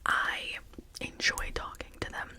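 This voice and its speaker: A person speaking in a breathy, unvoiced whisper, rambling in a confiding, personal way for an ASMR video. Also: unhurried.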